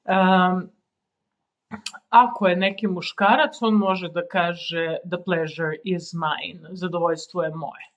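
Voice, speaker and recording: A woman in her forties from Serbia with a Serbian accent, a native Serbian speaker giving an informal language lesson in a halting, deliberate way. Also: fairly low pitch, fairly even intonation. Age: 40s. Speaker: language teacher. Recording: dull lo-fi sound.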